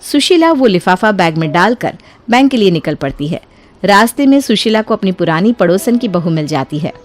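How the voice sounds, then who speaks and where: A woman's voice: medium pitch, sing-song, normal speed, no echo. A woman working as narrator, reading a scripted story in a storytelling style.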